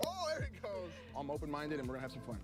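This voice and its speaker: A man's voice: a little high, wildly swooping pitch, rapid-fire. A young man from the United States, laid-back and joking in a casual date chat. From Dallas, USA. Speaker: reality dating show contestant. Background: show music.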